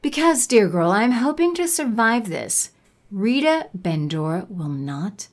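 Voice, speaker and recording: A haughty sorceress villain for an audio drama. She draws her words out slowly and swoops theatrically through them, condescending and full of menace. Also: mid-range voice, very animated. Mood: grave warning.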